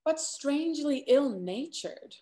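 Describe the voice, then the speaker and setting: A woman playing a sharp-tongued, secretive young lady in love, delivered dramatically in a Restoration comedy reading. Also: high-pitched, lively intonation, slow. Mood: arch, mocking. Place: indoor home room on a video call.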